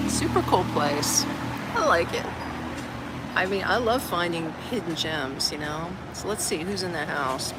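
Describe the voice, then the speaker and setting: Chatty expat from Florida, streaming outdoors. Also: mid-range voice. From Orlando, Florida, United States. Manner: rambling and chatty, peppered with "like" and "man". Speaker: expat vlogger streaming live. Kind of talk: casual livestream chat. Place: outdoor garden café. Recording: outdoor stream with traffic noise. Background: outdoor ambience with traffic.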